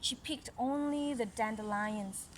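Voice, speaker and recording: A woman reads a story aloud as a mother to a young child, adding gentle conversational asides. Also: a little high, a little slow. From Malaysia. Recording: noisy recording with background sounds. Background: ambient noise, young child nearby.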